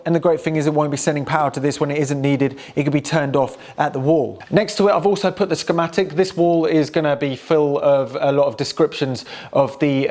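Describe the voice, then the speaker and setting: A man's voice: fairly high pitch, a little mellow. A British man giving a casual, enthusiastic, chatty hands-on demonstration as he presents a restoration project.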